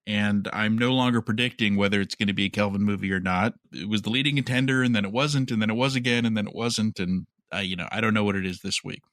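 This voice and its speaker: A man's voice: on the low side, fast talker, few pauses, fairly bright tone, no echo, studio quality. A man co-hosting a podcast, casually talking through news with a wry, skeptical manner. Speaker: podcast co-host.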